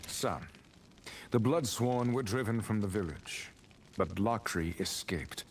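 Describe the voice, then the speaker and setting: Fantasy game hero, stern, courteous, low voice, faint background noise. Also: male voice, animated, measured pace. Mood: terse. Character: stern, courteous male wandering adventurer hero.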